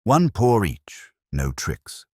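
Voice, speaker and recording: A man voicing a gruff male colonel, blunt and defensive under suspicion, in an audiobook. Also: lowish voice, wildly swooping pitch, takes it slowly, no echo, studio quality, pitched above the performer's own voice, exaggerated voice. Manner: bristling, blunt. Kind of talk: audiobook character dialogue.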